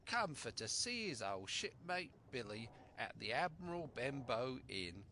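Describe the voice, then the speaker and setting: A man's voice: medium pitch, very animated, unhurried, sharp tone, slight background noise, full character voice. A man voicing a sly, falsely friendly pirate seaman in sing-song rustic sailor's speech for a storybook. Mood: falsely jolly.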